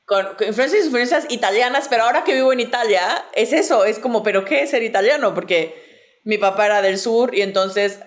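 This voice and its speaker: A woman's voice: fairly high pitch, brisk. A woman in her early forties with a Mexico City accent, chatting casually and reflectively about herself. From southern Mexico and Mexico City. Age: early 40s. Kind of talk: casual personal chat.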